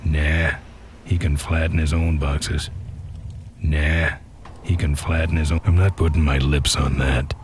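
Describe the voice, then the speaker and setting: Very deep, deadpan tough biker. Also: male voice, a little slow, noisy background. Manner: terse, deadpan. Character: gruff tough male biker hero, dry and menacing. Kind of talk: video game voice acting.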